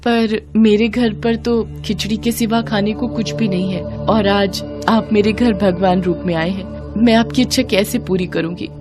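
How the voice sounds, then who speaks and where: A woman's voice: medium pitch, fairly even intonation, moderate pace, music in the background, lightly acted. A woman playing a poor, humble, devout wife and mother in a devotional story. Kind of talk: dramatic devotional story acting.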